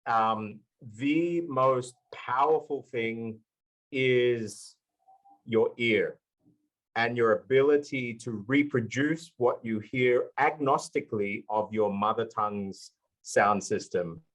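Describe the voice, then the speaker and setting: A man's voice: mid-range voice, slow, poor audio. A man speaking on a live conference panel, earnestly explaining his ideas with many fillers. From Australia. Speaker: panelist, language YouTuber.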